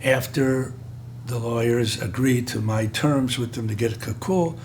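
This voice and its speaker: An older man, a bit hoarse, recalling his career in a rambling way with many halting pauses. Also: medium pitch, a little mellow, a little hiss or hum. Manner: rambling, frequent filler pauses. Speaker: interviewee. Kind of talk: oral history recollection.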